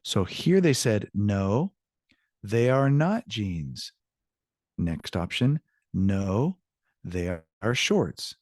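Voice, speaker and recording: Male online language teacher, lowish voice, dry close sound, informal and encouraging, bilingual delivery. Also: sing-song, very slow, long pauses, darkish tone, no room sound. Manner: informal, encouraging, switches between English and Spanish. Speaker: Spanish teacher. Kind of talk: online language lesson, explaining examples. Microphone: video call.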